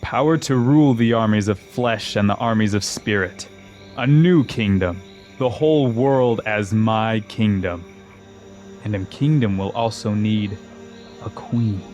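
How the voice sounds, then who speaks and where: A low, slow voice of a fallen old king , acted by a man in a fantasy audio drama. He is grandiose and scheming, coaxing his listeners like a practiced tempter. Faint music plays behind him. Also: darkish tone, close to an everyday voice. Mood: seductive, coaxing.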